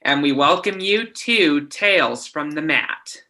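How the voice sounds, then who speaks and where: A man hosting, opening the show with a slow, deliberate welcome. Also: fairly high pitch, animated, pushed and bright. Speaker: host, children's author. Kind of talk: show introduction.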